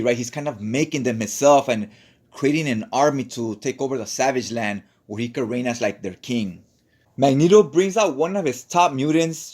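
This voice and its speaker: A man's voice: medium pitch, darkish tone. A man narrating a casual recap in an informal, colloquial way, often checking in with "right?". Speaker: comic book recap narrator. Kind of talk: casual story recap commentary.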